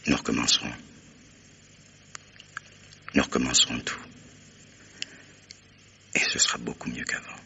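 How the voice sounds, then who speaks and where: An actor playing a stern, devoted husband in a French radio mystery play, speaking with formal, earnest authority. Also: male voice, level tone, unhurried, long pauses, bright, forceful tone, whispered, full character voice. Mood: tender, grave. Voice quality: very deep, gravelly, husky. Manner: earnest, commanding, formal address. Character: stern, devoted new husband, protective and determined.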